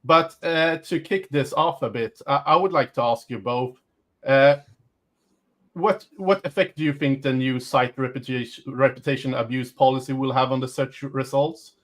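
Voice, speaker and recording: Guy hosting a webinar, lots of uhs, regular mid pitch. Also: normal speed, fairly bright tone. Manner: hesitant, many fillers. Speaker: host.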